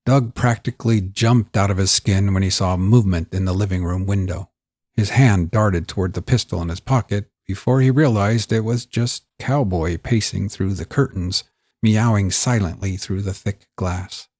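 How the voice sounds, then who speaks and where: A man reading scripted crime fiction in a measured, audiobook-style storytelling delivery. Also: on the low side, darkish tone, no echo, clean studio sound. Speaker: narrator of a serialized crime story. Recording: music bed under the voice. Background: music.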